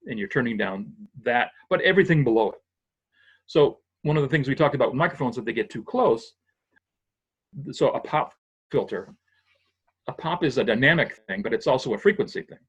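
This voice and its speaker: Older man explaining gear, husky and dull. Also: medium pitch. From Massachusetts, US. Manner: patient, explanatory, thinks aloud with restarts. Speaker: guest sound engineer. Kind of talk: casual technical interview. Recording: dull lo-fi audio.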